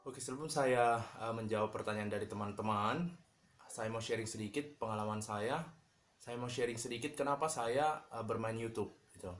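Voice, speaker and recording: Casual man with halting delivery. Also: lowish voice, faint music behind. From Medan, Indonesia. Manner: relaxed, halting, many fillers and restarts. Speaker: YouTuber. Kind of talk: casual tips vlog.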